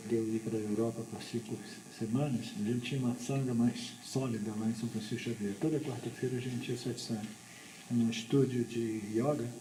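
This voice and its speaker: A man with a Brazilian Portuguese accent teaching spiritual philosophy informally to a small group, explaining in a halting way with repeated words. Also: medium pitch, dark tone. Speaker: Vedanta teacher. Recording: echoey room with background noise.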